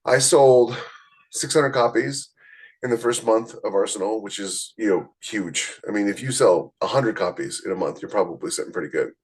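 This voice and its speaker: A man with a fairly low-pitched voice talks as a podcast host. He explains things in a conversational, unscripted way and often turns straight to the listener. The delivery is that of casual talk drawn from his own experience. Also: moderate pace, husky. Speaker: podcast host, self-published author.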